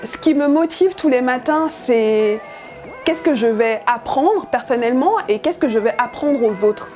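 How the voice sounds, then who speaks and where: A woman's voice: fairly high pitch, moderate pace, darkish tone, faint music behind. Earnest young woman, Yoruba native speaker. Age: young adult. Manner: earnest, thoughtful, many hesitant fillers. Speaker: journalist and editorial project lead. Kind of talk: interview monologue about her work.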